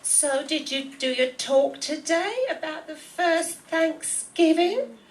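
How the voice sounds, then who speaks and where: A woman's voice: high voice, slow. A woman playing a jaded aunt and former schoolteacher with a dry, unimpressed manner in a radio comedy play. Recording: distant stage microphone.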